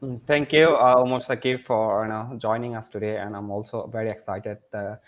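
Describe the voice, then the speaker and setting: A man's voice: lowish voice, steady tone, a little fast. A man hosting an online panel, full of fillers like "uh" and "you know", now and then switching into Bengali. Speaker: host.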